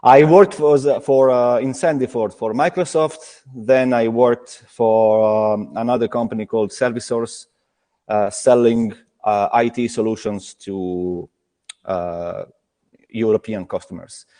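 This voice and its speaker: Halting man with reverb. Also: medium pitch, fairly even intonation, dark tone. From Italy. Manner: halting, many restarts and fillers. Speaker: craft brewer, guest. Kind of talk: casual video call chat.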